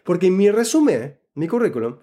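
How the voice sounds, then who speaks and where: A man from Buenos Aires with an Argentine Spanish accent, an interview guest telling a story and giving job-interview advice in an animated, explanatory way that keeps checking in with the listener. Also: sits high, a little fast, breathy edge. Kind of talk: casual conversational storytelling.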